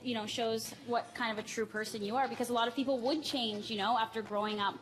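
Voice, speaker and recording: A woman's voice: on the high side, fairly even intonation, quick pace, a crowd in the background, low-quality recording. A woman interviewing a guest on a live TV show, with friendly, conversational questions. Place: busy TV studio.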